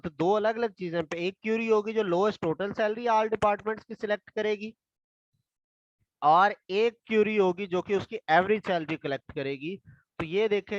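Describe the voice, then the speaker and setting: A hoarse man, a tutor slowly reading exam questions aloud and explaining them step by step. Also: high voice, no echo, highs cut off. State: hoarse from a throat infection.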